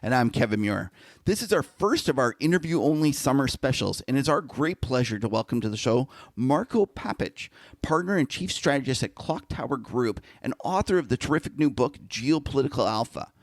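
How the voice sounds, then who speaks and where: A man's voice: medium pitch, animated, no echo. Easygoing male podcast co-host, dry sound. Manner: easygoing, halting, restarts sentences. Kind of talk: casual interview chat.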